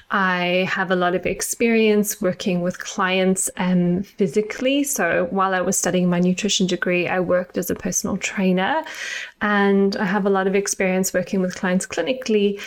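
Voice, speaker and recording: A middle-aged woman from South Africa with a South African accent, hosting her own podcast in a measured, earnest, explanatory way. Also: mid-range voice, clear, resonant tone. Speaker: podcast host and nutritional therapist. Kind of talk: scripted solo podcast monologue.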